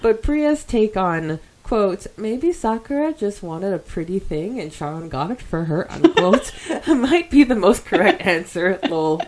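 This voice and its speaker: A woman's voice: mid-range voice, very animated, measured pace, few pauses, darkish tone, slight background noise. A woman co-hosting a casual fan podcast, chatting and reading out listener comments in a giggly, enthusiastic way. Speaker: podcast co-host.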